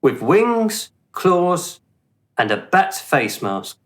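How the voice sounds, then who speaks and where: An actor playing a polite, hesitant gentleman courting a colleague in a radio play. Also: high voice, big pitch swings, drawn-out words, full character voice. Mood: gallant, playful.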